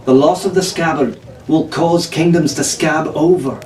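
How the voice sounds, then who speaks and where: A man's voice: fairly high pitch, normal speed, darkish tone, distant mic, lightly acted. In a roomy, echoing space with faint noise, a man acts out a strong-willed teenage tavern girl from a storybook. She knows her legends and delivers them earnestly and firmly. The voice comes from slightly off the mic. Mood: earnest, ominous.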